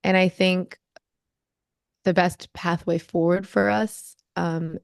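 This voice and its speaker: A woman's voice: on the low side, fairly even intonation, creaky, dry recording, studio quality. A young American woman, an author, reading her poem aloud and then reflecting on it thoughtfully in an interview over a video call. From United States. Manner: measured, thoughtful, rhythmic reading.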